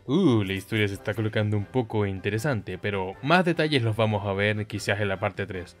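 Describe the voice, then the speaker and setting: A young man giving casual, excitable, foul-mouthed commentary as host of a gameplay video. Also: on the low side, a little fast. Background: game music.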